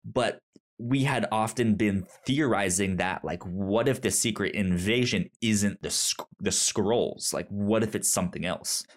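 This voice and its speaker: A man's voice: on the low side, measured pace. A man co-hosting a podcast, chatting casually with geeky enthusiasm and often circling back to rephrase himself. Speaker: podcast co-host. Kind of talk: casual chat about comics and shows.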